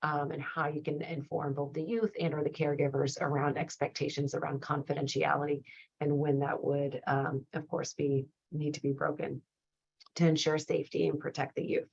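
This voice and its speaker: A woman with a low, slightly raspy voice that is starting to go, leading a training session. She explains in a measured, supportive, conversational way. The audio is dull and a bit muffled. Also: level tone, darkish tone. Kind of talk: conversational slide presentation.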